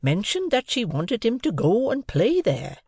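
A reader doing a meek, slow-talking gentle man. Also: mid-range voice, wildly swooping pitch, husky, no echo, clean studio sound, pitched above the performer's own voice, full character voice. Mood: hesitant. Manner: slow, halting, polite hints. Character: meek, mild-mannered man, hesitant and apologetic. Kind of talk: audiobook character voice.

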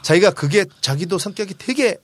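A man's voice: high-pitched, expressive, fairly quick, no room sound, studio quality. A man in his forties hosting a book discussion, explaining ideas conversationally and emphatically. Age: 40s. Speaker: host of a book discussion show; restaurant owner.

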